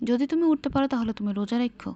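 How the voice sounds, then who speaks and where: Patient cartoon mother, played by a woman, Bangladeshi accent, high voice, quick delivery, coaxing a small child. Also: warm tone, muffled recording, a little hiss or hum, close to an everyday voice. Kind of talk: amateur cartoon voice acting.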